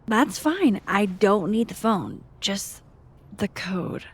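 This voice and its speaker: A woman's voice: medium pitch, sing-song, slow pace, slightly rough, exaggerated voice. An actress playing a dry-witted, introspective young woman in a naturalistic video game, speaking in an understated, wry, hesitant way. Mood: playful, coaxing.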